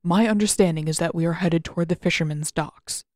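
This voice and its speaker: Woman voicing a courteous old general, lowish voice. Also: darkish tone, no echo, clean studio sound, close to an everyday voice. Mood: formal, careful. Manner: formal, measured, polite. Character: placating, courteous older general, a protective uncle.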